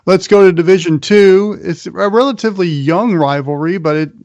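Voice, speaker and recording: A man's voice: high-pitched, moderate pace, a little mellow, dry recording, muffled recording. An American man hosting a sports show, running through college football news and previews. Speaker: sports radio show host. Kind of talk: sports round-up, partly scripted narration.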